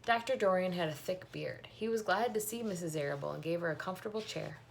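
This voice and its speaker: A woman narrates a children's story at home, speaking into a device at arm's length. Her voice sits a little low and has plenty of vocal fry. Faint noise runs behind her. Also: fast, rough recording. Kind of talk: reading a children's book aloud. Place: small home room.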